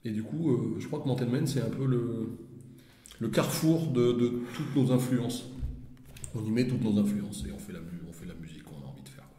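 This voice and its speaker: A man's voice: mid-range voice, brisk, warm tone. A man from France, giving a casual interview as a musician and often circling back over his phrases. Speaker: musician in a blues duo, interviewee. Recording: roomy, echoing space with background noise.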